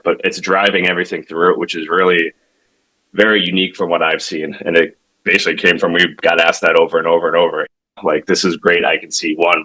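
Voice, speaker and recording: Man, low pitch, bright forceful tone, casual product demo, conversational, self-correcting, founder explaining his software. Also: rough recording. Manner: halting, self-correcting, conversational, checks in with "right?". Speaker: tech company founder demonstrating his product.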